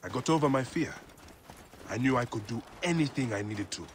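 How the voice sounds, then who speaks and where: A man's voice: medium pitch, expressive, fairly quick, slight background noise, lightly acted. An actor playing a protective middle-aged father, a warrior lawman of ancient Egypt, in a dramatic game cutscene. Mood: gentle, intimate. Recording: music behind the voice.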